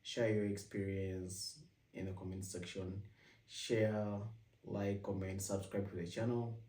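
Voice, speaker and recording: A man's voice: low voice, level tone, unhurried, mellow. A man from Uganda with a Ugandan accent, talking casually to his viewers and often restarting his sentences. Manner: rambling with frequent restarts. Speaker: vlogger host. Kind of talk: casual personal vlog. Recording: echoey room, mic at a distance.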